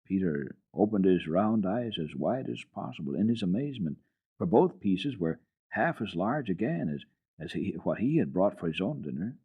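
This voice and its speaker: A man reading children's literature as a radio storyteller, in an expressive, dramatic style. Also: low-pitched, moderate pace, husky, narrow, tinny audio. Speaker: storyteller, radio program host.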